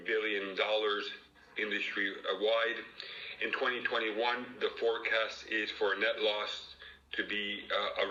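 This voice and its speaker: A man with a fairly low voice and a very bright, forceful tone delivers a formal industry briefing. He reads in a measured, slightly halting way. The sound is that of a conference call, roomy and somewhat dull. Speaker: airline industry executive giving a press briefing. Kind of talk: formal prepared presentation read aloud. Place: virtual press conference call.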